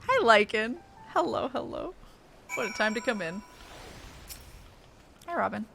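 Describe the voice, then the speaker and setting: A woman's voice: a little high, sing-song, measured pace, vocal fry, pushed and bright, faint music behind. A woman game streamer chatting casually and reacting as she plays. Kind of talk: casual livestream chat and reactions.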